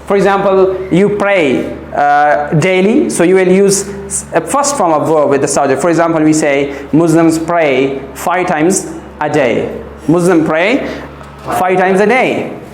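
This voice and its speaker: A man's voice: on the high side, measured pace, a little hiss or hum. A man giving a classroom grammar lesson as a teacher, repeating key phrases and checking that pupils follow. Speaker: English teacher. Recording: echoey classroom.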